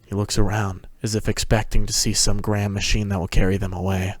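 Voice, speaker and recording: A man's voice: on the low side, little pitch movement, brisk, no echo, studio quality. A man narrating a story as a dramatic scripted reading. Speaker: narrator, fan fiction reader.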